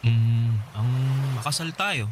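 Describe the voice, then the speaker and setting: A man playing an easygoing, devoted college boyfriend in an audio love-story drama, casual, tender and gently teasing. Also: mid-range voice, lively intonation, slow. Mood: sincere. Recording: street ambience with vehicle noise behind.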